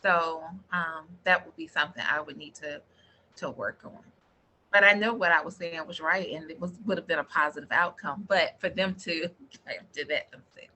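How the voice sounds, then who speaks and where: A woman's voice: low-pitched, moderate pace, sharp tone, a little hiss or hum. A woman taking part in a training, sharing a reflective, hesitant comment on her own practice. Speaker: training participant.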